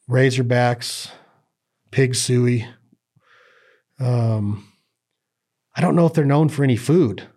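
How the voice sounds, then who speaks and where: A man's voice: mid-range voice, a little mellow. A man hosting a podcast in a rambling, joking, folksy way, drifting into ad reads. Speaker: podcast host. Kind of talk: casual podcast chat and ad reads.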